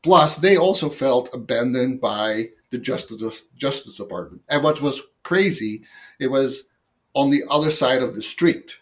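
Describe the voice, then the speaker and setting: A man's voice: mid-range voice, animated, unhurried. An older man from the Netherlands with a Dutch accent, a guest telling long rambling stories about his past jobs with lots of fillers and self-mocking asides. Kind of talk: casual interview storytelling.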